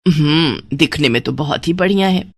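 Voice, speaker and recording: A woman's voice: low voice, moderate pace, a little mellow, breathy edge, no room sound, studio quality, pitched below the performer's own voice. A woman playing a grumbling, sarcastic middle-aged mother in an audio story. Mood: calm, considering. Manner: scolding, sarcastic.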